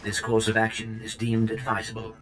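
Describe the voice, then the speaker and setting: Male actor as polite game droid, lowish voice, precise and formal, efficient, clear background ambience. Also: completely flat delivery, brisk, fairly bright tone, a little hiss or hum, lightly acted, rough recording. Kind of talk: scripted game voice acting.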